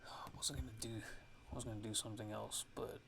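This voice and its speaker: A man giving a casual ASMR music production tutorial, thinking aloud in a halting way as he works. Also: fairly low pitch, level tone, gravelly, heavy background noise.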